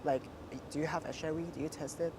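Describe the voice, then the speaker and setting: A woman in her twenties from Thailand, with a deep voice, chatting casually in an interview with many fillers and frequent laughs. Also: steady tone, fast, mellow, slight background noise, rough recording. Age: 20s.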